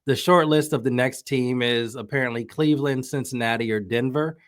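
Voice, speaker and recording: A man with a mid-pitched voice gives casual sports analysis as a podcast guest. He is conversational and opinionated, working through his thoughts aloud. The sound is dry, with very little room in it. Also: steady tone, brisk, no echo. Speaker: podcast guest, women's soccer commentator.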